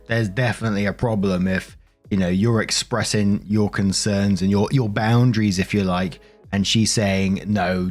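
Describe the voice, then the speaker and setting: A man reading online stories aloud in a chatty, conversational way, with casual commentary as the host. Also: on the low side.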